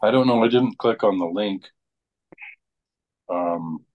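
A man's voice: on the low side, slow pace, darkish tone, highs cut off. A man talking in a casual conversational style. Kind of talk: casual chat.

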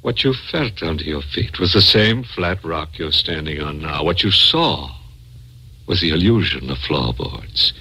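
An actor playing a sinister, controlling older doctor in an old-time radio drama, commanding and coolly menacing with a raspy voice. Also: male voice, a bass voice, expressive, a little slow, pushed and bright, heavily acted. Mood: explaining calmly. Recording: old-time radio recording with music behind.

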